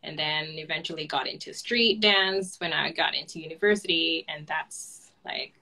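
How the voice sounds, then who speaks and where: A woman in her twenties from Hong Kong with a Hong Kong accent, telling her story softly as an interview guest on a webcam at home. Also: deep voice, normal speed, low-quality recording. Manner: soft-spoken, light laughs, simple phrasing. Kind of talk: personal story in a video call. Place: home room, indoors. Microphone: video call webcam.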